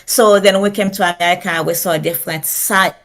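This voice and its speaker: A woman's voice: on the low side, fairly even intonation, fairly quick, a bit bright. A woman from Haiti, speaking earnestly and proudly as a guest on a podcast, with plenty of fillers and restarts. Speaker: guest, financial professional. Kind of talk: conversational podcast interview.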